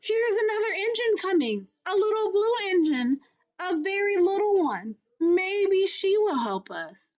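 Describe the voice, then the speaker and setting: A woman's voice: very high-pitched, sing-song, measured pace, darkish tone, dull audio, voice pushed up, full character voice. A woman voicing a small, hopeful toy clown in a storybook, calling out encouragingly. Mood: excited, hopeful. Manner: crying out, encouraging.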